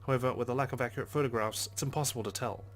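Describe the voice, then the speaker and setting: A man's voice: mid-range voice, racing. A man reading visual novel narration aloud dramatically as a let's play host, with brief casual asides. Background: game background music.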